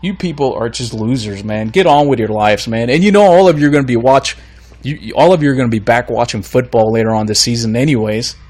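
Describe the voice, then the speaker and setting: A man's voice: mid-range voice, fast, a little mellow, faint music behind. A man from Florida hosting his own podcast, ranting bluntly and with exasperation while he is losing his voice. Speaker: podcast host. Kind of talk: solo podcast rant.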